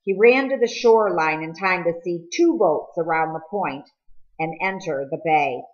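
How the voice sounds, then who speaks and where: Low-voiced woman reading aloud, muffled recording. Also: normal speed. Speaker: audiobook reader. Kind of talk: scripted storybook narration, read aloud.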